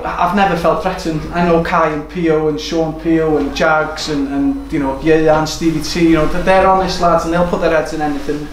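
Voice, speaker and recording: A man in his thirties, a football manager giving an earnest post-match interview in a small room. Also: fairly high pitch. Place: small room indoors overlooking a football pitch. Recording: echoey room with background noise.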